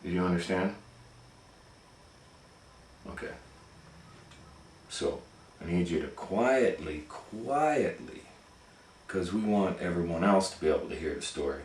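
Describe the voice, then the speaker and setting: Male storytime reader, deep and relaxed, informal and hesitant, explaining things gently to young listeners. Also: unhurried, long pauses, a little hiss or hum.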